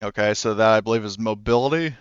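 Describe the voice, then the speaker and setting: Man, game master, low voice, dry close sound, halting with fillers. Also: smooth, no echo, dull audio. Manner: hesitant, lots of fillers and restarts. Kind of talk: running a tabletop game.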